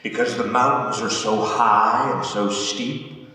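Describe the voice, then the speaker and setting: A man playing a gruff, dismissive prison warden in a theatrical monologue, with emphatic delivery, long pauses and sudden swells. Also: medium pitch, fairly even intonation, pushed and bright, voice pushed up. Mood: bitter, brooding. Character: gruff, dismissive prison warden governing a remote mountain fortress. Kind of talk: theatrical dramatic monologue read on stage. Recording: very echoey hall, far from the mic.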